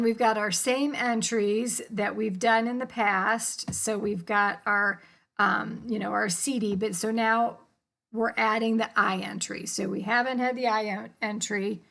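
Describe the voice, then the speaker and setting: A woman's voice: fairly high pitch, steady tone, a little slow, vocal fry, a bit bright. An American woman giving an informal, explanatory lecture as an instructor, in colloquial language with casual check-ins. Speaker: accounting instructor.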